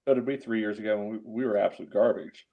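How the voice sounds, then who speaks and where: Dull-sounding lower-voiced man in sports chat. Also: fairly even intonation, quick pace. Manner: choppy phrasing, peppered with "you know". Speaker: podcast co-host. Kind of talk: casual soccer debate. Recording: dull, lo-fi audio.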